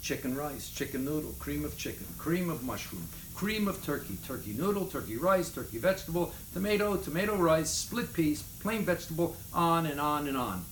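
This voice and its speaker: A man giving an expressive, emphatic reading of humorous memoir prose. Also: on the high side, normal speed, few pauses. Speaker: reader of a memoir chapter. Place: indoors in a city apartment. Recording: echoey room, faint background noise.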